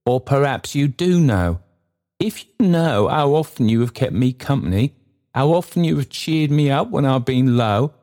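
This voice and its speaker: A humble old man of little means, played by a male narrator, his voice lifted high and thin above a narrator's register. He falters and pleads, deferential and earnest, like a timid soul in a storybook. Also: lively intonation, normal speed, a little mellow, natural voice. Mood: earnest pleading. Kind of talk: dramatised storybook reading.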